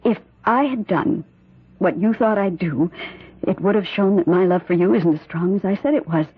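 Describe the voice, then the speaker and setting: A woman's voice: medium pitch, moderate pace, husky, no room sound, natural voice. An actress playing a devoted, resolute wife in an old-time radio drama, earnest and insistent with her husband. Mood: challenging, steady. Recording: thin, tinny old radio recording.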